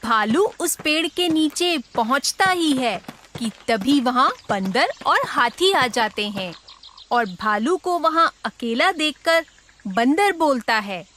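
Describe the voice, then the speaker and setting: Slow, high-pitched woman storyteller. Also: expressive, a bit bright, slight background noise. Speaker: narrator. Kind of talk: scripted children's story narration.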